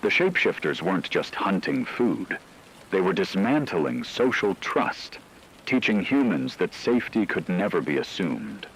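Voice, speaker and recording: A man reading scripted apocalyptic horror narration in a measured, solemn, ominous way. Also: sits low, big pitch swings, fairly bright tone, a little hiss or hum, low-quality recording.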